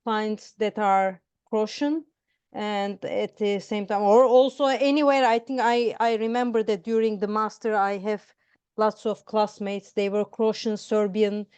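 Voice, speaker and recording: A woman's voice: medium pitch, fairly even intonation, a little slow, a little mellow, clean tone, no echo. A woman hosting a casual interview chat, feeling her way through roundabout questions padded with "I mean, like.". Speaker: interview host. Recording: close dry mic, dull lo-fi sound.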